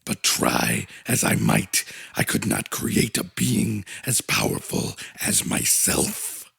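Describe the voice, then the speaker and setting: A man voicing a colossal ancient monster god, solemn and commanding, with a very deep rumble and slow, portentous delivery. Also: very animated, vocal fry, fairly bright tone, slightly rough, no room sound, studio quality, exaggerated voice. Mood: solemn, brooding.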